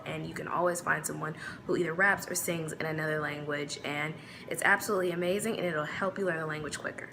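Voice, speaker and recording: Chatty Midwestern woman, low voice. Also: gravelly, noisy background. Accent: Midwestern American. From United States. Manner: relaxed, chatty, many fillers. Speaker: vlogger. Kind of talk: casual talk to camera.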